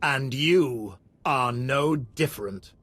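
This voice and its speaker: A domineering dark lord in a video game, mid-pitched and very slow. He is cold, paternal and haughty, weighing each word. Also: expressive. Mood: cold command. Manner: measured, haughty. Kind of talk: dramatic video game acting.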